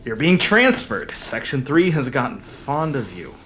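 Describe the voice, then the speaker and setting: A man's voice: medium pitch, big pitch swings, unhurried, fairly bright tone, a little hiss or hum. Man playing a stern, resentful military commander. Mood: stern, threatening. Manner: curt, menacing, rigid. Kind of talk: scripted audio drama acting.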